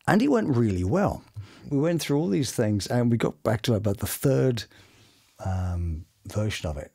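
Animated British man, swooping pitch. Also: moderate pace, gravelly. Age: middle-aged. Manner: expressive, dramatic storytelling. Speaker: voice actor guest. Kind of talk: animated anecdote in a casual interview. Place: small home studio, indoors.

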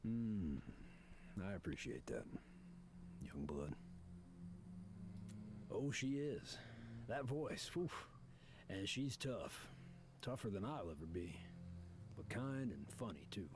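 An actor playing a gruff, bearded older outlaw in a video game, talking fondly of a lost love and calling the listener "young blood". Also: male voice, on the low side, very animated, takes it slowly, long pauses, noisy background. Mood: rueful.

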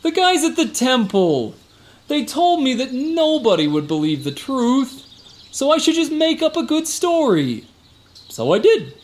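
A man's voice: extremely high pitch, huge pitch swings, measured pace, slight background noise, full character voice. Man playing a boastful, swooping tough-guy guard. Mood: sheepish, bragging. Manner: folksy, slangy, exaggerating. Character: boastful, evasive tough-guy security guard, a fibbing male cousin. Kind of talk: comic radio drama acting.

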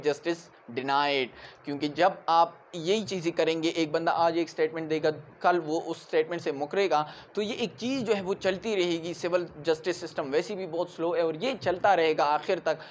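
A man in his twenties with a Pakistani accent, giving an explanatory law lecture in an emphatic way, repeating key points. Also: fairly high pitch. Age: 20s. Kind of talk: explanatory lecture mixing Urdu and English. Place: small indoor room in front of a whiteboard. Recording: muffled audio with clear background noise.

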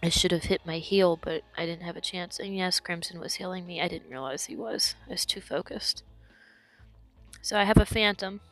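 A woman's voice: on the low side, moderate pace, a bit bright, faint music behind. A woman who is sick and losing her voice, explaining her moves as she commentates her own gameplay. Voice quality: hoarse. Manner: explanatory, talks through her decisions.

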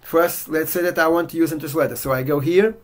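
A middle-aged man from Portugal with a Portuguese accent, walking through a software demo in a relaxed way with many fillers. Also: a little high, quick pace. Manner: relaxed, filler-heavy walkthrough. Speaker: software reviewer. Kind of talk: casual screen-share demo. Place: indoor room at home.

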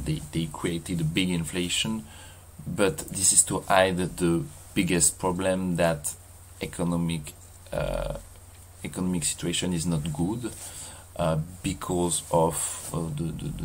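A man in his thirties with a strong French accent, vlogging outdoors in a halting way with many fillers and restarts. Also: low voice, steady tone, husky. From France. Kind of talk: casual walking vlog. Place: outdoors in a seaside mountain town.

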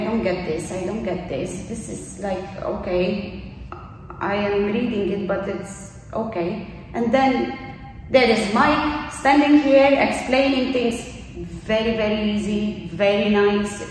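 A woman's voice: on the low side, darkish tone, a little hiss or hum. A woman in her thirties from Bulgaria with a Bulgarian accent, a non-native English speaker giving an earnest interview testimonial as a course graduate. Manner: earnest, with frequent fillers and repetitions. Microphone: camera mic from a distance. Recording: echoey room, voice from a distance.

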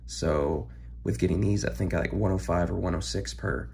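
Young guy, hoarse from being sick, low gravelly voice, rambly chill chat with lots of ums. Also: moderate pace. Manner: relaxed, rambling, with frequent ums and throat clearing. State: hoarse, recovering from illness. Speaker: card-collecting channel host. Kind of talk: casual pack-opening chat. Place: home room, filmed over a desk.

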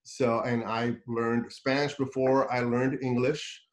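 Middle-aged guest speaker over a video call, casual talk with fillers and repeated words. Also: male voice, mid-range voice, level tone, slow. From United States. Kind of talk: webinar talk. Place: home office with bookshelves.

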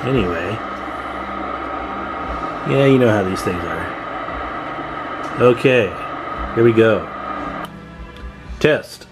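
A man giving a casual, informal hands-on demonstration as a hobbyist, unhurried, recorded on a handheld camera in a room at home. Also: on the low side, animated, over music, low-quality recording. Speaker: CB radio hobbyist showing his equipment. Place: indoor room at home with radio gear. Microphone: handheld camera microphone, speaker off screen.

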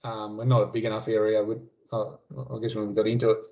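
A man's voice: lowish voice, completely flat delivery, fast talker, mellow. A man speaking as a farmer on a panel, answering questions casually and thinking aloud in a hesitant way. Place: indoors on a video call. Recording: dull video-call audio.

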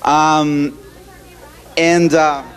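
Ecuadorian man, native Spanish speaker, halting English, handheld mic in a hall, slightly raised pitch. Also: flat delivery, slight background noise. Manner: halting non-native English, many restarts, gesturing. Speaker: ministry speaker. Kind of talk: animated talk to an audience. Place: hall indoors.

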